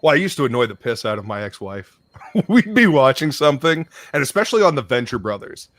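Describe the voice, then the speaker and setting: A man's voice: medium pitch, sing-song, quick pace, a bit bright, no echo. A man hosting a casual live stream chat, rambling between topics, swearing freely and greeting viewers in the chat. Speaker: stream host.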